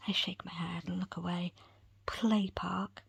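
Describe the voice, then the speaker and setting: Slow, whispering woman reading a story. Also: lowish voice, level tone, dry recording. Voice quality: breathy, unvoiced whisper. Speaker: audiobook reader. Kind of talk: ASMR whispered first-person story narration.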